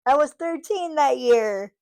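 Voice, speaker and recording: Slow-talking woman in her thirties. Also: high voice, expressive, darkish tone. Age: 30s. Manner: rambling, many fillers and restarts. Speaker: vlogger. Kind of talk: casual monologue to camera.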